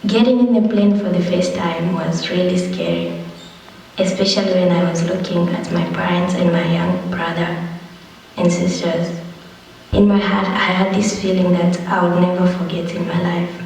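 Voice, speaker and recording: A young refugee reading a prepared account and a poem in a slow, steady way. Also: mid-range voice, creaky. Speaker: young refugee telling own story. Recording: distant voice in a reverberant hall.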